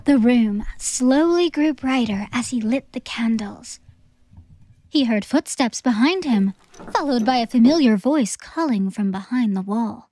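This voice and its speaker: High-voiced woman narrating a story. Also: animated, a little slow, dark tone. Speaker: audiobook narrator. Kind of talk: scripted theatrical story narration.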